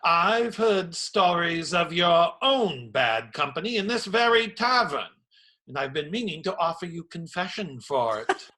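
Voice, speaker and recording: An actor playing an earnest, righteous priest who admonishes firmly in a radio play. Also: male voice, on the high side, lively intonation, measured pace, pushed and bright. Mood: calm rebuke.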